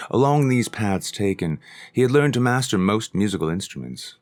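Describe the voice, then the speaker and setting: A man reading his own short story aloud as scripted audiobook narration, in a measured, deliberate storytelling manner. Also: sits low.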